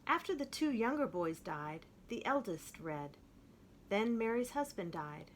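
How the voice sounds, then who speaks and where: Woman, children's storybook read-aloud, expressive, emphatic slowed phrases, mid-pitched voice. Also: slight background noise. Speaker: children's book reader.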